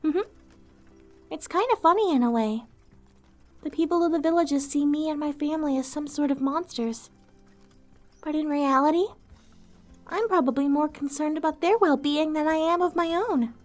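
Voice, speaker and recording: A woman voicing a gentle, weary female vampire outcast in an ASMR roleplay, soft-spoken, wistful and earnest, with formal wording. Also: high voice, moderate pace, long pauses, faint music behind, natural voice. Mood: pensive, melancholy.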